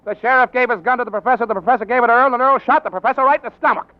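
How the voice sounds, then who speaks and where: Young male reporter, high voice, American, brash, slangy, old-time radio drama, dulled recording. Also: very fast, narrow, tinny audio. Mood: excited, urgent. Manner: slangy, wisecracking, cocky. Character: brash, fast-talking young newspaper reporter, engaged to marry. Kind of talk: 1940s radio acting.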